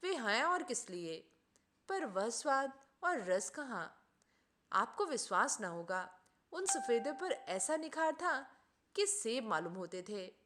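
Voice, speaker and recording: Woman reading as a melodramatic, animated gentleman doctor. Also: fairly high pitch, slow pace, heavily acted. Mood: aggrieved. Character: indignant, melodramatic gentleman doctor, master of an orchard.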